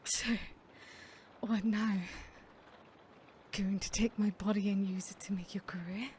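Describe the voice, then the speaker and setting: A woman playing a sadistic, smug female serial killer in an ASMR horror roleplay, theatrical and unhurried, often dropping into breathy whispers. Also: mid-range voice, little pitch movement, darkish tone, slight background noise, natural voice. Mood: feigned weakness, menacing.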